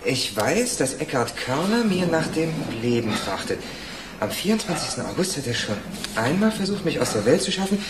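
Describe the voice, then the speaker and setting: An actor playing a vengeful, mocking young ex-convict who bullies and threatens in a German radio crime drama. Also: male voice, on the high side, big pitch swings, normal speed, echoey room, heavy background noise. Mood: cold accusing. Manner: sneering, sarcastic, bullying.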